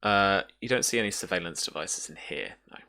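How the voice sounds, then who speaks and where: A man narrating as game master in a tabletop roleplaying game, in a halting, descriptive manner. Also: sits low, sharp tone, no room sound.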